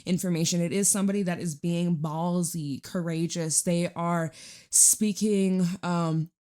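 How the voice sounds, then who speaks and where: A woman with a raspy, hoarse voice giving a casual, chatty tarot reading, informal and emphatic, repeating phrases for emphasis. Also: on the low side, fairly even intonation, unhurried, dark tone. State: hoarse, recovering from losing voice. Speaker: tarot reader and channel host.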